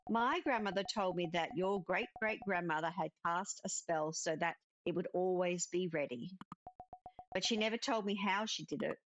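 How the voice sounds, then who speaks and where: A woman's voice: lowish voice, moderate pace, dry recording, highs cut off, close to an everyday voice. A woman voicing a kindly spirit witch mentor in a cozy visual novel, patiently explaining and instructing. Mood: instructive. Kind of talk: cozy visual novel dialogue read aloud.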